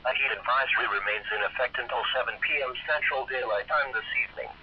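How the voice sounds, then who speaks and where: A synthetic male voice reads a scripted weather forecast as a radio announcer, measured, stiff and impersonal. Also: mid-range voice. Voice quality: synthetic text-to-speech voice. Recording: dull, noisy weather radio broadcast.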